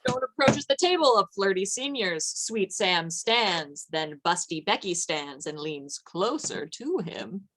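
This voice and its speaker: A woman's voice: on the low side, lively intonation, a little slow, hardly any pauses, a bit bright, poor audio. A woman narrating a scripted old-time radio comedy play.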